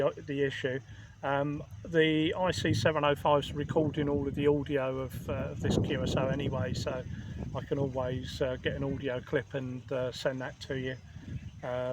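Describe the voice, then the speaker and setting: A man chatting as an amateur radio operator, speaking in a hesitant, measured way. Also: medium pitch. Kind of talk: casual radio contact chat. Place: outdoors at a portable radio station. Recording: clear outdoor background noise.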